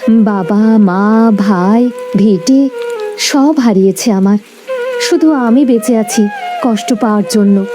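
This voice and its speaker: A woman plays a young, hopeless village woman in a cartoon tale. The voice is fairly high and plaintive, weighed down with despair. Music runs loudly behind it. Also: slow pace, pauses often. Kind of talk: cartoon story dialogue.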